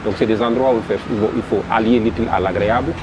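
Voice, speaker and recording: A man with a Senegalese French accent, a festival promoter describing his event in an interview, halting and repeating words as he goes. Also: lowish voice, fairly even intonation. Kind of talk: interview answers. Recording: noisy background.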